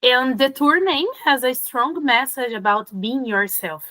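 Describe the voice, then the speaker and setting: A woman interviewing for a music website, a native Portuguese speaker asking questions in halting phrasing. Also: sits high, expressive, a crowd in the background.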